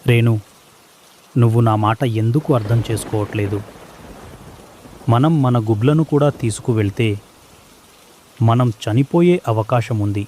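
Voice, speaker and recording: A man's voice: medium pitch, darkish tone, a little hiss or hum, lightly acted. A man voicing a worried, practical male sparrow who pleads earnestly in a children's cartoon story.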